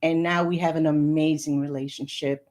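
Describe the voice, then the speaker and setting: An American woman with Puerto Rican parents, a guest instructor telling her personal story in a soft-spoken way with many ums. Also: deep voice, level tone, moderate pace. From United States, Puerto Rican parents.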